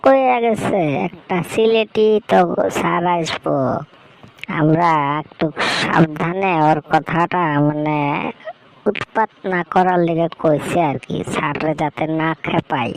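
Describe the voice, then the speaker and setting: An assured schoolboy from a comic cartoon played by a man who explains things like he knows it all. His voice is heavily creaky, and faint noise with clicks runs behind it. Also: a little high, unhurried, poor audio. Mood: knowing.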